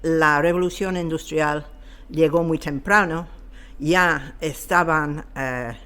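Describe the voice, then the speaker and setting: A man giving an informal historical explanation as an interview guest, halting and searching for his words. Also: on the high side, steady tone, pushed and bright, clean tone.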